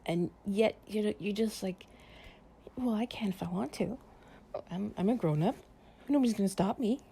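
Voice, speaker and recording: A woman chatting casually and intimately to the listener in an improvised relaxation roleplay, softly and breathily with drops into whispering, in a playful, sleepy, confiding way. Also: mid-range voice, moderate pace, a little hiss or hum. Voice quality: soft, breathy, often dropping to a whisper. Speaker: audio roleplay performer playing a loving companion.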